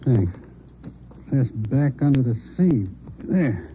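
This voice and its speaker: A man's voice: medium pitch, sing-song, takes it slowly. An American actor playing a methodical, observant police lab man in a 1940s radio drama, speaking tersely. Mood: absorbed, matter-of-fact. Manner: terse, matter-of-fact. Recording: tinny, noisy 1940s radio recording.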